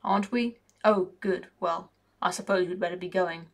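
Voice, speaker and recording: A woman's voice: lowish voice, fairly even intonation, creaky, far from the mic. A woman doing a heavy, solemn death figure. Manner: slow, heavy, puzzled formality.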